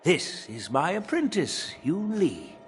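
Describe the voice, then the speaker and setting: A long-lived veteran general and master smith from a fantasy game. He is jovial, humble and easygoing, his voice slightly high and swinging freely in pitch. Music plays clearly behind him. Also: sing-song, slow pace. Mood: cheerful, curious. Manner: hearty, easygoing, informal. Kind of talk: video game story dialogue.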